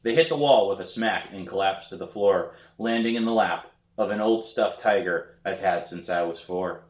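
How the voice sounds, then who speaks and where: A man reading a children's novel aloud as its author, in an animated storytelling manner. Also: low-pitched, normal speed. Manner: animated, acts out dialogue. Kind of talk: expressive audiobook-style read aloud of a children's novel. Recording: roomy echo.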